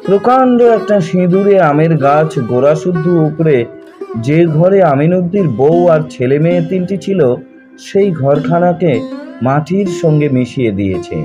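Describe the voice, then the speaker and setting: A man reads a literary story in a measured, expressive, dramatic narration, drawing out his phrases. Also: high voice, muffled recording. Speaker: audiobook narrator. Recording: music behind the voice.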